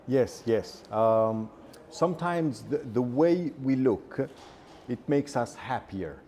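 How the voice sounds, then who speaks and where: Male guest, mid-pitched, measured, halting delivery, faint background hum. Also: animated, darkish tone. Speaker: guest speaker. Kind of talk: reflective discussion.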